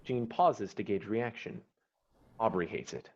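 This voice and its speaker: Low-voiced man narrating, dull recording. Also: unhurried, darkish tone. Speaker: stage directions narrator. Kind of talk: dramatic scripted narration. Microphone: online call microphone.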